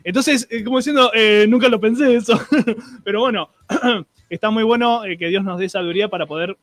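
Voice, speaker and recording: A man's voice: sits high, brisk, fairly bright tone, no echo. A man with an Argentine accent hosting a streamed radio show, chatting and greeting listeners with many restarts and fillers.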